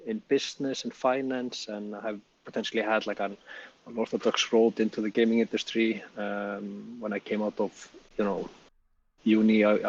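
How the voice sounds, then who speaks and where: A man answering interview questions as a guest, hesitant and full of fillers. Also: fairly low pitch. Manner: flat, hesitant, lots of fillers. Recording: distant, dull call audio.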